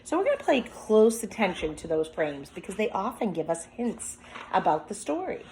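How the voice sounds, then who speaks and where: A woman's voice: medium pitch, big pitch swings, normal speed, dark tone, a little hiss or hum, poor audio. A woman speaking as a teacher, reading a picture book aloud with commentary and prompting young listeners with questions as if to a class. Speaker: teacher demonstrating a children's read-aloud.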